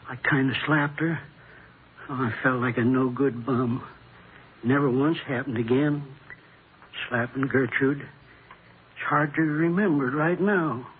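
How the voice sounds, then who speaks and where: An actor playing a bereaved, defensive husband in a vintage radio drama, hesitant and wounded in a plain-folks way. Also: male voice, mid-range voice, slow pace, long pauses, lightly acted. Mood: remorseful. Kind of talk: 1950s radio drama acting. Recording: muffled, noisy old-time radio recording.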